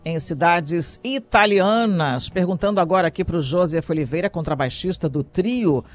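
A man's voice: sits high, normal speed, soft background music. A man interviewing a guest on the radio, chatty and upbeat, joking easily.

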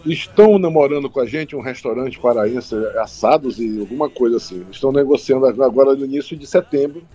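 A man chatting as an interview guest, husky and halting, often repeating words and adding tag questions. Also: medium pitch, dark tone. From Bragança, Pará, northern Brazil. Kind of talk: casual interview answers. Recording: dull lo-fi line, music behind.

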